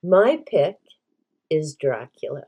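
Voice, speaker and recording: A woman whose first language is Hungarian, hosting a book tag vlog in an earnest, measured way. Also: deep voice, big pitch swings, slightly rough, highs cut off. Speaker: book channel host.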